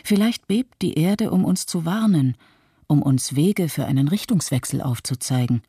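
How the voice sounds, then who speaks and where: A pensive witness played by a man narrates quietly in a radio play. The voice is slightly high for a male, with a mellow, husky grain and noticeable creak. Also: animated, normal speed, no echo, clean studio sound. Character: pensive islander resolved to stay positive after disaster. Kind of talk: scripted first-person narration in a radio documentary play.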